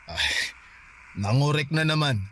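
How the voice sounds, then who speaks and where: An actor playing a drunk, defensive boyfriend who dodges commitment in a radio drama. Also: male voice, a little high, lively intonation, slow, sharp tone, a little hiss or hum, exaggerated voice. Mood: dismissive. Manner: defensive, dismissive. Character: Gerald, evasive drunk boyfriend.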